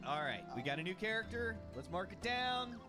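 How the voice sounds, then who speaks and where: A man's voice: sits high, big pitch swings, fairly quick. A man chatting casually and jokingly as he plays through a video game. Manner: playful, joking. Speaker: gaming streamer. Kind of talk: casual playthrough chat. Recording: music behind the voice.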